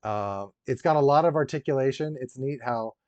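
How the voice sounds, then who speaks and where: A man's voice: medium pitch, moderate pace, a little mellow. A man hosting a casual live stream as a toy collector, chatting with viewers in a rambling, joking way full of dry, teasing asides. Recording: roomy echo, dull lo-fi sound.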